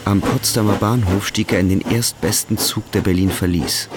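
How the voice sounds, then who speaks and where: A man's voice: lowish voice, steady tone, moderate pace, background noise. A man narrating a radio drama in a scripted literary style.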